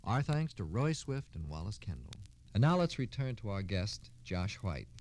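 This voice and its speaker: Animated American man hosting old-time radio. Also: fairly low pitch, normal speed. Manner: formal announcer delivery. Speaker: radio host.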